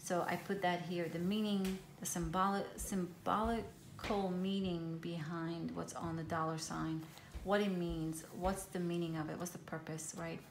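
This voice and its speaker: Low-voiced woman, Hebrew native, echoey room. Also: a little slow. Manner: relaxed, rambling, frequent ums and restarts. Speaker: craft vlogger. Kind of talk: casual show-and-tell of a handmade journal. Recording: echoey room with faint hiss.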